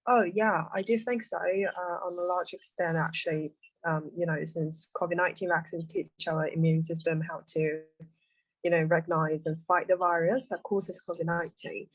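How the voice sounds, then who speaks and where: Young woman, low voice, hesitant. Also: fairly even intonation, moderate pace, no room sound, poor audio. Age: 20s. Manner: hesitant, many fillers. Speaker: interviewee. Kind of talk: casual interview answers.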